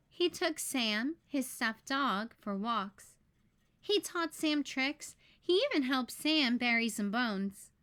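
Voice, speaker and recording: A woman narrates a children's picture book in a fairly high voice. She goes very slowly, phrasing each part deliberately so that young listeners can follow. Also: a bit bright. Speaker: read-aloud storyteller.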